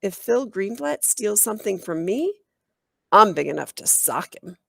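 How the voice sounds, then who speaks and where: A woman's voice: medium pitch, big pitch swings, moderate pace, creaky, fairly bright tone, husky, no room sound, clean studio sound, exaggerated voice. Woman as a defiant, sarcastic sixth-grade girl.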